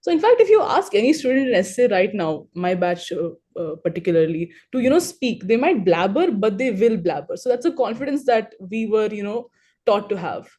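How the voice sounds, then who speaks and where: A young woman with a mellow, rather dark voice talks through a video call, chatty and self-assured. She is from Hyderabad and Telugu is her first language. Her talk keeps rolling along with small fillers. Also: mid-range voice, big pitch swings, fairly quick. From Hyderabad, India. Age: 20s. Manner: chatty and confident, rambling with fillers. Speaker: alumni panelist.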